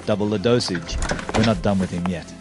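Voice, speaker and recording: An actor playing a cold, commanding villain who speaks curtly and formally, in a radio drama. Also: male voice, deep voice, a little fast, natural voice. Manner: curt, formal, authoritative. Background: music.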